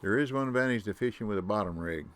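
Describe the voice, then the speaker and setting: A man with a deep voice giving casual commentary on his own gameplay, muttering to himself and humming nonsense tunes. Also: animated, quick pace, a bit bright. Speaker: gaming YouTuber.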